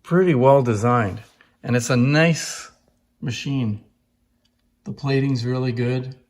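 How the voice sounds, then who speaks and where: A man's voice: mid-range voice, takes it slowly, darkish tone. A man giving an informal, conversational demonstration and explanation as a presenter, with an appreciative manner. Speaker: presenter showing antique phonographs. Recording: echoey room.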